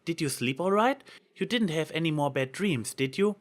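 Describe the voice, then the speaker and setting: A man voicing a gentle, caring, organised female unicorn in a story reading. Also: fairly high pitch, lively intonation, normal speed, pitched above the performer's own voice, close to an everyday voice. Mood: concerned.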